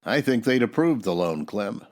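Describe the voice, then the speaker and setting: A man's voice: fairly low pitch, animated, moderate pace. A man playing an upright, plain-spoken Old West marshal in a radio western, earnest and folksy. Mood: calm, earnest.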